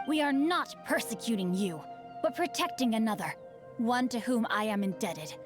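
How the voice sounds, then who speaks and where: A woman voices a refined young scholar in a video game. The voice is on the high side, formal and ornately eloquent, with composed poise over music. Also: expressive, lightly acted. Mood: composed, explanatory. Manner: formal, measured, ornate diction.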